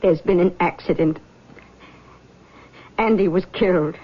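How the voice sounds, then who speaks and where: A woman's voice: fairly low pitch, a little slow, breathy edge. An actress playing a controlling, anxious middle-aged mother, speaking in a coaxing, fretful way in old-time radio drama style. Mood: grave. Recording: dull old radio recording.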